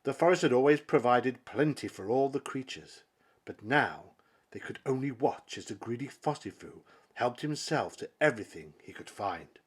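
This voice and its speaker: A man reading a children's picture book aloud as a dramatic narration, with theatrical delivery and emphatic pauses. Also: medium pitch, lively intonation, breathy edge. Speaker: storybook reader.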